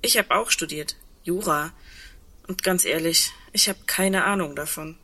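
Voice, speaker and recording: A lowish female voice, husky yet bright and forward. She is a sceptical, candid woman who still holds on to a sliver of hope. Her delivery is plain and questioning, in the understated manner of naturalistic radio drama. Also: unhurried, full character voice. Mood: pensive, sceptical. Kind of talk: naturalistic German radio play dialogue.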